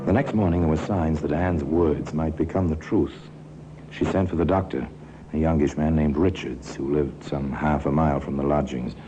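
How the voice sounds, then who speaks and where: A man's voice: extremely low pitch, fairly even intonation, moderate pace, warm tone, highs cut off, a little hiss or hum. A man narrating a 1950s radio crime drama in a ruminative, wry, insinuating manner, as a knowing storyteller. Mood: ominous, measured. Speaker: narrator and host of a crime anthology. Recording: old radio recording with music.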